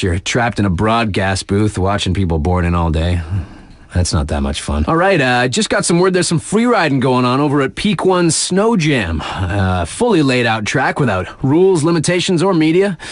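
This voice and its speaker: A man's voice: mid-range voice, dry recording, studio quality, close to an everyday voice. An actor playing a laid-back, wry young male radio DJ for a snowboard game, with slangy, offhand, casual patter. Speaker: radio DJ on a ski mountain station.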